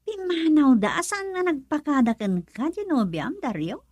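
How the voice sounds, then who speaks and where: A woman's voice: high-pitched, moderate pace, no room sound. An actress playing an emotional young woman, a questioning close friend, with a Filipino accent in a radio drama. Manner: expressive, probing with many questions.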